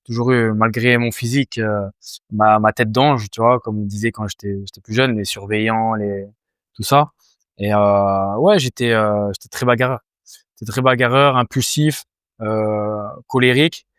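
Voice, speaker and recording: Young man, smooth voice, halting answers. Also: fairly low pitch, fairly even intonation, fairly bright tone, no echo, clean studio sound. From France. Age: 20s. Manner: hesitant, many fillers and restarts. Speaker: Muay Thai fighter, guest. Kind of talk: casual interview answers.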